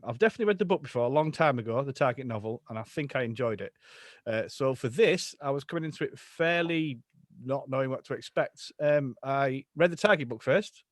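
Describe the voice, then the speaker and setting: A man's voice: medium pitch, no echo, clean studio sound. A man with a northern English accent hosting a podcast in casual, jokey chat, laughing often and swearing freely. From Northern England. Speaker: podcast host.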